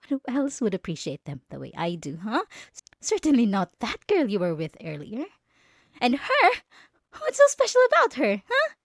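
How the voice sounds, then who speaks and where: A woman's voice: on the high side, no room sound, studio quality. A woman playing a jealous, possessive young female bully in an intimate ASMR roleplay, menacing and taunting as she blackmails her crush. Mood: jealous, agitated. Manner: menacing, taunting, swinging between soft and agitated.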